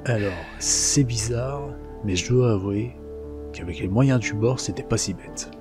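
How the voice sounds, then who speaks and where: A man playing a character in a tabletop game, speaking in a measured, deliberate and slightly formal way. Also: medium pitch, over music. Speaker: player.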